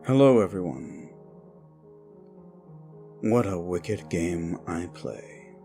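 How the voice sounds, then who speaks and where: A man speaking to his audience as an audio roleplay creator, with theatrical, deliberate phrasing. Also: sits low, expressive, gravelly, warm tone, faint music behind. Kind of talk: outro addressing the audience.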